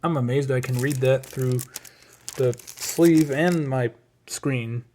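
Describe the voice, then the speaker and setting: A man's voice: mid-range voice, slow, a little mellow, a little hiss or hum. A man giving a casual, unscripted show-and-tell as a collector, rambling along with hesitant asides. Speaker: record collector presenting a collection.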